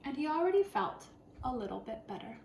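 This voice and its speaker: A woman, a first grade teacher, reads and talks to young children in an expressive, deliberate storytelling way. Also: sits high. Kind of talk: picture-book read-aloud for children. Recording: roomy echo, clear background noise.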